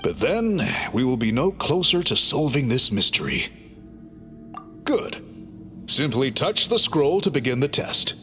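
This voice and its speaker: Calm formal chief guy, explains things politely, bright lively voice, faint music. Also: medium pitch, measured pace. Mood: reassuring. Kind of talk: game cutscene voice acting.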